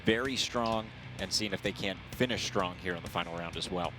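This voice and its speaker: A man's voice: low voice, brisk, over music. A man with an American accent giving conversational live golf commentary as a color analyst.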